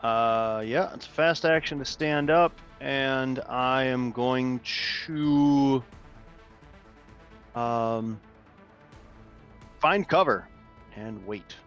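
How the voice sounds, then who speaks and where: A man talking casually as a player in a tabletop game, thinking his moves out loud. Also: mid-range voice, very slow, pauses often, smooth, soft background music. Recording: slightly dull, lo-fi mic sound.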